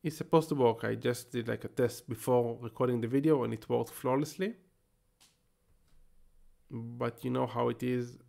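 Israeli man with a gravelly voice. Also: mid-range voice, steady tone, normal speed, warm tone. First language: Hebrew. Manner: casual, thinking aloud while working. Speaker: tech YouTuber. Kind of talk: screen-share tutorial.

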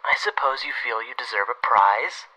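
Bright, sharp, bitterly sardonic actor as betrayed man. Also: medium pitch, moderate pace, low-quality recording. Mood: bitter, mocking. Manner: sarcastic, accusing. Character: man back from presumed death, bitter and sardonic. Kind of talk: dramatic audio roleplay.

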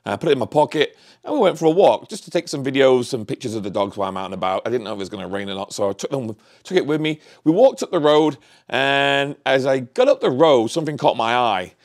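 A man's voice: mid-range voice, expressive, fast. A middle-aged man, a vlogger, chatting casually and candidly to camera in a rambling way. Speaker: vlogger, channel host. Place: small home office, indoors.

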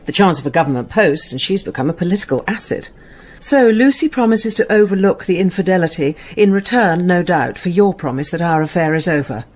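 An imperious English woman barrister in a radio play. Her voice sits low with a slightly rough edge. She is bitter and sarcastic, cutting with every phrase. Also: expressive, a little fast, darkish tone. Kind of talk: radio drama acting.

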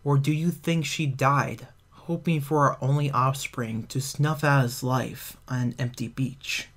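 A man voicing an ancient, all-seeing male cosmic being who speaks in slow, solemn, cryptic pronouncements for a fan audio drama. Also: medium pitch, steady tone, a bit bright.

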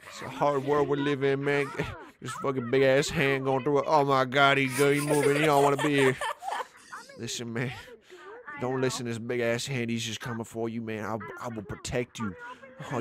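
A young man giving loud, laughing, slang-heavy commentary while exploring a social VR game, swearing often. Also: medium pitch. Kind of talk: casual gaming commentary.

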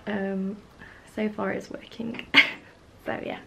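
Young woman, gravelly chat. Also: medium pitch, slow, heavy background noise. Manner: chatty, relaxed, trails off with fillers. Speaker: vlogger and small shop owner. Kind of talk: casual vlog talk to camera. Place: small home studio room, indoors.

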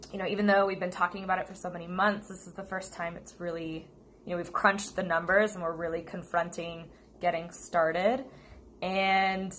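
An American woman talking casually to viewers as a vlog co-host. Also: fairly low pitch. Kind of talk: casual chat to camera. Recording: steady noticeable background noise.

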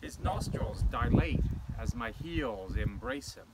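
Slow, expressive man reciting poetry outdoors. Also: medium pitch. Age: middle-aged. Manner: measured, declamatory, pausing between short phrases. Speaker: poetry reader. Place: open grassy farm paddock outdoors. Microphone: camera a few metres away. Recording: distant mic, loud outdoor wind and noise.

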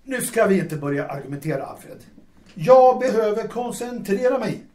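A man's voice: high-pitched, lively intonation, a little mellow. A man playing a fretful, harried stage actor in an audio drama, fussy and stammering. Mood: irritated, complaining. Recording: echoey room with faint noise.

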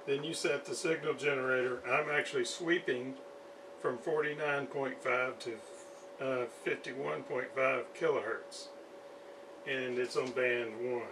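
Male hobbyist demonstrator, unhurried and hesitant, off-mic with some faint background noise. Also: mid-range voice, fairly even intonation, distant mic. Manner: halting, hesitant, thinking aloud. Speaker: radio restorer, video host. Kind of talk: casual hands-on demonstration talk. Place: indoor home workshop at a desk.

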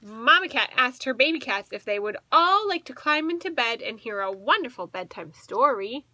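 A woman in her thirties reading a storybook aloud to children as a teacher, expressive and asking the listeners questions. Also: fairly high pitch, normal speed. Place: small indoor room with bookshelves.

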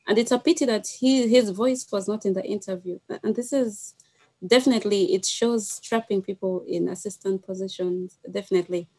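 A woman's voice: medium pitch, a little fast, a little mellow, poor audio. A woman in her thirties with a Ugandan accent, speaking as a panelist on a video call, choosing words hesitantly and thoughtfully. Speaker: guest panelist, wildlife researcher. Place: small indoor room. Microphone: headset on a video call.

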